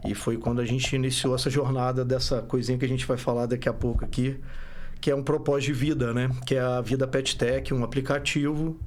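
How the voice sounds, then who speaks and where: A man's voice: medium pitch, flat delivery, brisk, slight background noise. A Brazilian man with a Minas Gerais accent, a startup founder talking casually as a podcast guest, rambling and often restarting his sentences. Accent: Brazilian Portuguese, Minas Gerais. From Brazil, born in Rio, raised in Belo Horizonte. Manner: rambling, self-correcting, frequent "né?".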